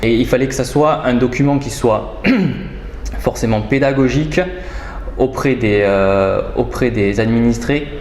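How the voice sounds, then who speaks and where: A man speaking as a local official, giving measured explanations in formal wording, with frequent hesitations. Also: mid-range voice, darkish tone. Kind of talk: explanatory interview answers. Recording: roomy echo, faint background noise.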